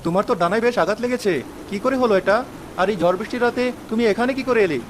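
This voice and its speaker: A woman's voice: on the high side, racing, a little hiss or hum, close to an everyday voice. A woman voicing a sad, humble young village boy in a children's fairy-tale cartoon, earnest and pleading. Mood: curious. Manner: plaintive, pleading. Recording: music under the voice.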